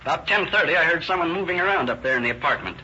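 A candid, unsuspicious tenant, talking quickly in a fairly high, sharp voice. He rambles plainly through his account on a dull, hissy old radio recording. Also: expressive. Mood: candid, slightly sheepish. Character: unsuspicious, candid male apartment tenant witness. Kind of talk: 1930s radio drama acting.

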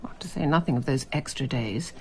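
A woman's voice: rumbling low, brisk, noisy background. An actress playing a shrewd, cool, probing female journalist in a radio drama. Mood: insinuating. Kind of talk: British radio drama acting.

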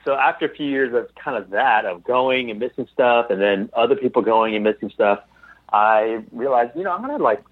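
A man as an interview guest, telling anecdotes casually and haltingly over a tinny remote call. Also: medium pitch, animated, no room sound. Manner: halting, repeats phrases as he thinks aloud.

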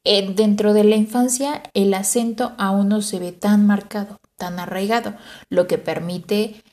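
A woman explaining a topic in a lecture, measured and steady, often pausing on "eh" and adding a soft "ujú". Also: medium pitch, reverberant. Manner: measured, with frequent "eh" fillers and "ujú" affirmations. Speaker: teacher explaining language learning.

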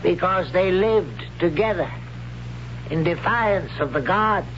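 An actor playing a stern, zealous elderly high priest who speaks solemnly and commandingly, in a 1970s radio drama. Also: male voice, expressive, slow. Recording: dull lo-fi old radio broadcast with hiss.

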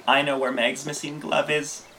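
A woman playing a teasing, excitable teenage boy in a period audio play, mischievous and wheedling. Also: low-pitched, normal speed. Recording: noticeable background noise and clicks.

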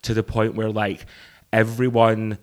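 A man with a croaky voice, lower than most, talks in a loose, rambling way. He fills his sentences with ums and little laughs as he chats. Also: monotone, a little slow. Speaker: drag performer and show producer. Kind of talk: casual podcast chat.

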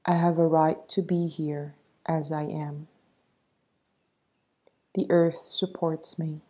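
A woman leading a guided meditation in a soft, breathy voice, soothing and measured as she gives instructions. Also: low-pitched, level tone.

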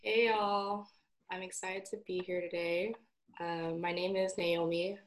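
A woman in her twenties gives a calm, brief introduction. She speaks slowly in a somewhat low voice. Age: 20s. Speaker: podcast guest.

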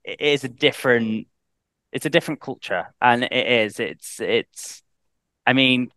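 A man's voice: medium pitch, measured pace, sharp tone, no echo, studio quality. A man with a Northern English accent, a guest on a podcast, talking in a chatty, rambling way with many restarts. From Northern England. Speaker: guest, head of sales. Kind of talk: casual podcast chat.

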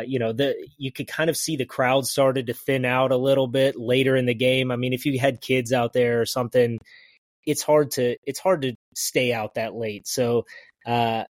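A man's voice: mid-range voice, no room sound. A man co-hosting a casual sports podcast, talking in a rambling way with frequent restarts. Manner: rambling, many restarts and fillers. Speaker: podcast co-host.

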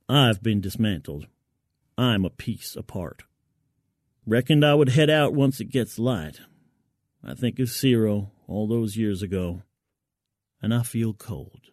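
A man's voice: on the low side, a little mellow, dry recording, clean studio sound. A man reading a first-person short story as a scripted narration. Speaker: fiction narrator.

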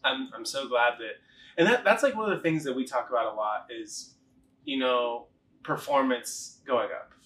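A man's voice: medium pitch, animated, moderate pace, fairly bright tone, low-quality recording. A man with a mid-range voice hosts a casual interview. He is encouraging and often drops in short affirmations. Faint background noise sits behind his voice. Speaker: interviewer from a health practice.

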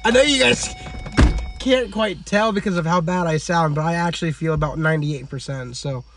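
A hoarse young man with a head cold, co-hosting a podcast in casual chat, rambling with stumbling restarts and laughter. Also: fairly high pitch, moderate pace, over music. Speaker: podcast co-host.

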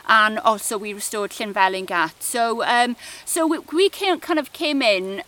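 Welsh lady in her 40s chatting away, lots of kind of's, bright voice. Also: a little high, unhurried. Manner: chatty, many fillers like "kind of". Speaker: project officer. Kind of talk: casual interview chat.

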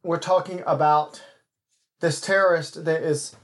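A man's voice: a little high, steady tone, a little slow. A man hosting a commentary podcast, talking in a rambling way with frequent restarts and asides to his listeners. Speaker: podcast host.

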